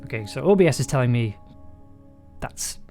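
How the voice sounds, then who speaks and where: A man with a raspy, throaty voice, talking casually and rambling through a livestream while producing an audio drama. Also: mid-range voice, lively intonation, moderate pace, mellow. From United Kingdom. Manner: rambling, many restarts and fillers. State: raspy, throaty voice after illness. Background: music.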